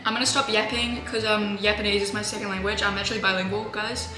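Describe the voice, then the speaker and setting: A woman vlogging in a home kitchen, chatting in a rambling way with sarcastic, deadpan asides, recorded on a camera mic. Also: mid-range voice, fast. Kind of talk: casual vlog chat. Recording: roomy with music bed.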